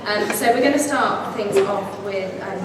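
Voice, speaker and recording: A woman moderating a panel, slightly hoarse, introducing the speakers with many fillers. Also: medium pitch, measured pace. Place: large conference hall. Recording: very echoey hall, distant, loud room noise.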